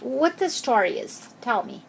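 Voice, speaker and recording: Gentle higher-voiced woman interviewer. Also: sing-song, unhurried, vocal fry, a little hiss or hum. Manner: gentle, patient questioning with frequent hesitations. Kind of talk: casual interview with a child.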